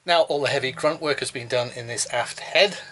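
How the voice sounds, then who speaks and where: Bright-voiced man explaining boat repairs. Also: medium pitch, brisk, slight background noise. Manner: chatty, matter-of-fact, explaining boat jobs step by step. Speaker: sailing vlogger. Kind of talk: casual vlog narration. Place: on a sailboat and beside its hull in a boatyard. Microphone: handheld action camera.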